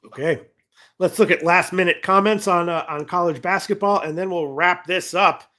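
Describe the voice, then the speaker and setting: A man hosting a sports betting talk show, rambling and correcting himself as he reads viewer comments, his voice going hoarse. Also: sits high, moderate pace, pushed and bright. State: losing his voice. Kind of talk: casual betting talk on a livestream.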